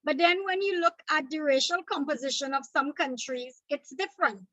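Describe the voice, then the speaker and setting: Bright high-voiced Trinidadian woman lecturing. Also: normal speed, no echo, poor audio. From Trinidad and Tobago. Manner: explanatory, repeats points, checks in with "okay?". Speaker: teacher. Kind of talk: exam revision lecture.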